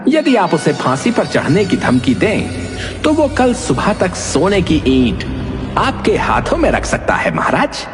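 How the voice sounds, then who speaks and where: A man voicing a sly messenger who flatters the king and persuades him. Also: high voice, a little slow, long reverb tail, music in the background, full character voice. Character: sly male messenger at court.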